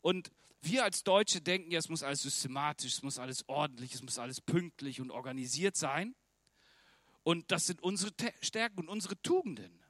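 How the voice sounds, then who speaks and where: A man's voice: fairly high pitch, animated, normal speed, a bit bright, dry recording, clean studio sound. A German man preaching a sermon in an earnest, conversational way, often turning to ask the listeners direct questions.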